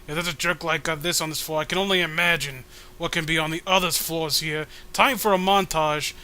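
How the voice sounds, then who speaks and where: A man's voice: fairly high pitch, steady tone, brisk, bright, forceful tone, clear, resonant tone. A man hosting a podcast, reading a comic aloud with casual, sarcastic commentary. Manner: sarcastic, joking.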